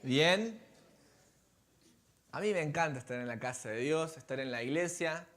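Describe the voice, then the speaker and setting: A man's voice: fairly high pitch, expressive, moderate pace, fairly bright tone. A man with an Argentine accent, a pastor preaching a sermon to his congregation and asking them questions as he goes. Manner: conversational, engages congregation with questions. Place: church sanctuary.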